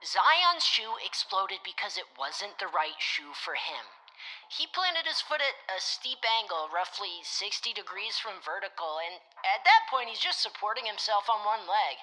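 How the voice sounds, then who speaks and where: Bright, animated young tech whiz. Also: female voice, mid-range voice, a little slow, low-quality recording. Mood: assured. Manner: matter-of-fact, technical explaining. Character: confident, analytical young tech whiz who tests evidence. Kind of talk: scripted audio drama dialogue.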